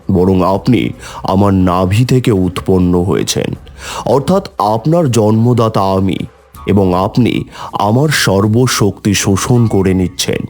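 A man voicing a calm, assured preserver god in a measured, formal way for a cartoon myth. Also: on the low side, full character voice. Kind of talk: mythological cartoon dialogue.

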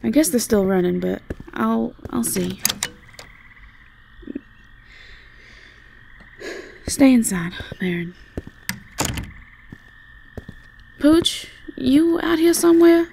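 A woman acts a sweet Southern belle in a fantasy audio drama. She has a Southern US accent and takes her time, with creaky edges to a gentle, folksy, hesitant delivery. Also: a little high, lively intonation, measured pace, a little hiss or hum. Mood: puzzled, worried. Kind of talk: fantasy audio roleplay acting.

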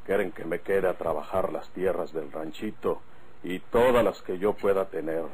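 An actor playing a brooding, insistent bandit with a rural northern Mexican accent in an old radio drama. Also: male voice, low-pitched, moderate pace, a little mellow, breathy edge, low-quality recording. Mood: solemn. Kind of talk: golden-age radio drama acting.

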